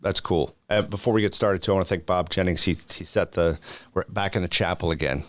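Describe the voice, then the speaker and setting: A man's voice: deep voice, steady tone, a little fast, dry recording. A middle-aged man hosting a podcast in casual chat, friendly and chatty, laughing easily, in a chapel room. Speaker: podcast host, commander.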